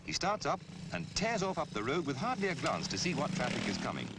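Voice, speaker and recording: A man reading scripted public information film narration in a measured, instructive, emphatic way, on an old film soundtrack. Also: on the high side, wildly swooping pitch, gravelly, heavy background noise. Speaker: narrator of a road safety film.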